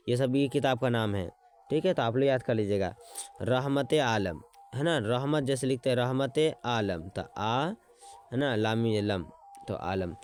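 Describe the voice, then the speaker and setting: A man teaching an exam-prep lesson, repeating words slowly as he writes them out and checking in with his students as he goes. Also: medium pitch, a little mellow, a little hiss or hum. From Bihar.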